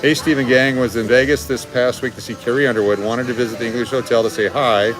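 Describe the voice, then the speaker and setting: A man's voice: medium pitch, steady tone, fast, poor audio. A middle-aged man hosting a casual, bantering live stream from a restaurant table into a handheld phone. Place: restaurant dining room, indoors. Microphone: phone at arm's length. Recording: music playing in the room. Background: music.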